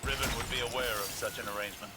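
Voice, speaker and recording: Game ally casting: man playing stern, skeptical warrior, mid-pitched, dry and formal, with music clearly underneath. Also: fairly even intonation, very fast, close to an everyday voice.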